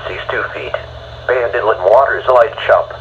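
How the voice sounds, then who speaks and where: An American man's synthetic text-to-speech voice reading a weather bulletin in a flat, formal way. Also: fairly low pitch, normal speed. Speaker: automated weather radio announcer. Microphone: phone held to a radio speaker. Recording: dull radio broadcast re-recorded by phone, faint noise.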